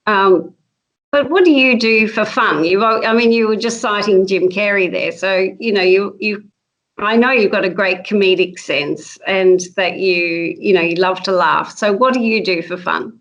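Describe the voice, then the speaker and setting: A woman hosts an interview, putting her questions in a friendly, chatty way with plenty of fillers and quick laughs. Her voice sits at a middle pitch, and the sound is somewhat dull. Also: fairly bright tone. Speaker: host. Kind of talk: casual interview chat.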